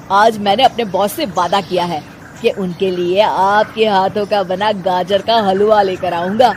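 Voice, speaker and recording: A woman's voice: mid-range voice, close to an everyday voice. Woman playing a childish, wheedling young man. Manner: wheedling, casual, protesting. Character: childish, pleading young man who adores his sister-in-law. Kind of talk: cartoon moral story acting.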